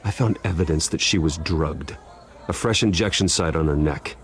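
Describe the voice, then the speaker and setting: A man voicing a brooding, serious vigilante detective in a video game, terse and measured. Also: very deep voice. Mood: grim, focused.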